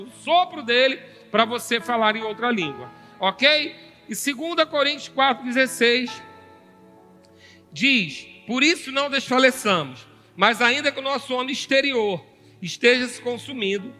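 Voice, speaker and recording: A man's voice: very high voice, pushed and bright, big-room sound. A Brazilian man preaching a teaching sermon to a congregation, often prompting them to answer amen. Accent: Brazilian Portuguese. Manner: teaching, calling for "amém" from the congregation. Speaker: pastor teaching a seminar.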